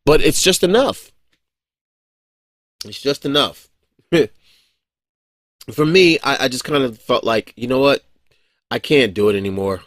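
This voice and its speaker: A man's voice: medium pitch, lively intonation, slow, pauses often, fairly bright tone, no room sound, clean studio sound. A man in his forties giving a casual, rambling monologue as a vlogger, blunt and profane, with frequent chuckles and restarts. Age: 40s.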